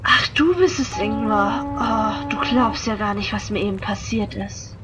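A woman playing an anxious young lady in an audio drama, expressive and exclamatory. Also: mid-range voice, unhurried, over loud music, lightly acted, low-quality recording. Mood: complaining, shaken. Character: young wealthy woman, anxious would-be victim. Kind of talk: German audio drama acting.

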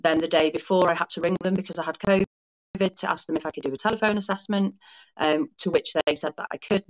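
A woman's voice: on the low side, steady tone, quick pace. A woman in her forties from Wales, speaking as a podcast guest from her lounge, explaining her story at length with small restarts and self-corrections. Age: 40s. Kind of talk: casual conversational interview. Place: home lounge. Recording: dull lo-fi call audio.

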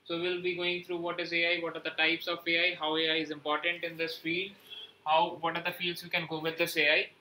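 A man leads an online class over a video call, in a loose, chatty way with plenty of okays and uhs. His voice is very bright and a little high for a man. A faint hiss sits under the call. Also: completely flat delivery, brisk, low-quality recording. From West Bengal, India. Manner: loose and conversational, many fillers like okay and uh. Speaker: online course mentor. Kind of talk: online class intro and lecture.

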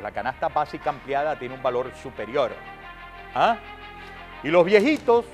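Venezuelan guy doing opinionated tv news commentary, kinda high voice, emphatic and sarcastic, music behind. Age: middle-aged. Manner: indignant, sarcastic, emphatic, with rhetorical questions. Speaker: TV news show host. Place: TV studio.

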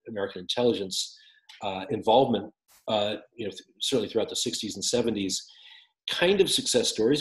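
A middle-aged man talks over a video call, stumbling through restarts and fillers as he answers. His voice sits a little low. The room around him rings with echo. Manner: halting, many restarts and fillers. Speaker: author guest. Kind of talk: casual interview answers. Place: home room with windows. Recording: roomy echoey call audio.

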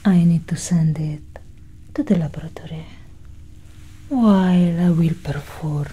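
Female alien abductor for sci-fi ASMR roleplay, played by a woman: very breathy whisper, unhurried, condescending yet curious, close to the mic. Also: sits low. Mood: coaxing, commanding. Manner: slow, soft, condescending, intimate. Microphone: close microphone.

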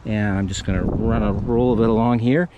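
A man hosting a casual hands-on repair tutorial, plain-spoken and folksy, with a halting delivery. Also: on the low side, steady tone, dull audio, noisy background. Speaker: host of DIY renovation channel. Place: outdoors under a raised mobile home.

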